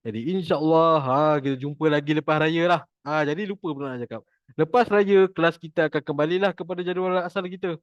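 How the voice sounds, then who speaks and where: A man's voice: fairly high pitch, brisk, no echo, muffled recording. A man with a Malaysian accent teaching an informal religious class, chatty and jokey, repeating his points for emphasis. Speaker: Quran recitation teacher.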